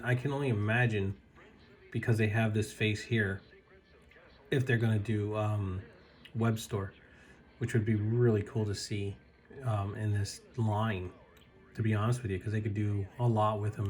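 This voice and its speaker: A man with a fairly low voice walks through a toy review in a relaxed, chatty way. He sounds a little raspy from a recent illness and often stops mid-sentence to restart or admire a detail. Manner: halting, with fillers and admiring asides. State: slightly raspy from recovering illness. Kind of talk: casual product review.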